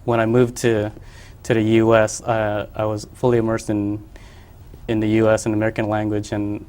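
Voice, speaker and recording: A man's voice: fairly low pitch, completely flat delivery, normal speed, darkish tone, clean tone. A young man from the Philippines, a painter being interviewed on a local cable show, who talks in a hesitant way full of fillers and restarts. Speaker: artist guest. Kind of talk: casual interview.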